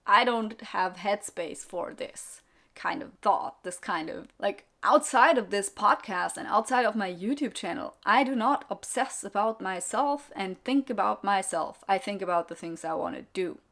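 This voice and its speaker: A woman's voice: mid-range voice, moderate pace, vocal fry, fairly bright tone. A young adult woman hosting her own podcast, English not her first language, talking bluntly and candidly in a solo monologue with sarcastic asides. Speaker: podcast host and YouTuber.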